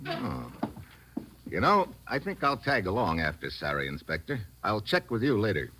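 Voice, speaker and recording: An actor playing a tough, sardonic American agent, narrating and talking in hard-boiled old-time radio drama style. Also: male voice, lowish voice, wildly swooping pitch, moderate pace, sharp tone, slight background noise, full character voice. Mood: businesslike. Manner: sardonic, slangy.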